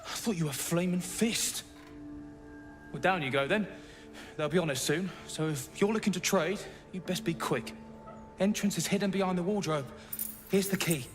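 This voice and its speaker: An actor playing a nervous smuggler who talks in a startled, hurried way. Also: male voice, high voice, soft background music, lightly acted. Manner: startled, hurried, cockney-flavoured. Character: jumpy smuggler man hiding in a shop.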